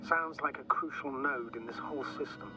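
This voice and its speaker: A man playing a calm, probing mission commander in a science fiction video game, speaking formally and steadily. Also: mid-range voice, moderate pace, noisy background, natural voice. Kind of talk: naturalistic game voice acting. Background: game music.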